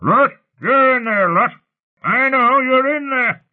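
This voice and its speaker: A man's voice: fairly high pitch, big pitch swings, moderate pace, vocal fry, voice pushed up, full character voice. A man voicing an angry, bullying old patriarch who bellows and drags out his words. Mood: angry bellowing. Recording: muffled phone-like narrow sound.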